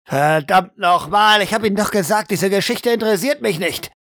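A man's voice: sits high, moderate pace, fairly bright tone, no room sound, studio quality, pitched above the performer's own voice. A man voicing a harsh, impatient doctor who interrogates a prisoner with formal, accusing demands in a roleplay audio drama. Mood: exasperated.

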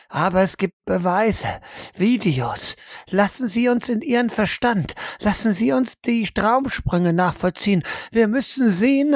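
A woman's voice: medium pitch, no room sound, studio quality. A woman acting in an audio drama as a stern, insistent interrogator who speaks formally and presses hard. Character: stern, insistent female interrogator probing a prisoner's mind.

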